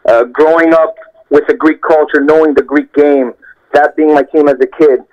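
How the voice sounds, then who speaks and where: A man's voice: fairly high pitch, fairly even intonation, moderate pace. Earnest young man on a muffled phone line. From Canada. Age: young adult. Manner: earnest, repeats phrases for emphasis. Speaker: interview guest, professional footballer. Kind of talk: phone interview, reflective storytelling.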